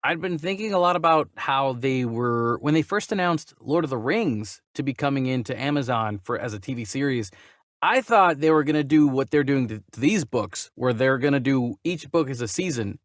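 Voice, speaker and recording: A man co-hosting a casual movie news chat, animated and given to exaggerated questioning. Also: mid-range voice, normal speed, dry recording, clean studio sound. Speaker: movie news podcast co-host.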